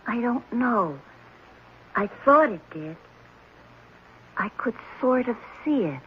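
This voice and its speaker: A strange, quiet orphan boy in a vintage radio drama. He speaks simply, with a dreamy detachment. The recording is muffled and slightly noisy. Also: on the high side, animated, slow pace. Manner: simple, detached, answers briefly. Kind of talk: 1970s radio drama acting.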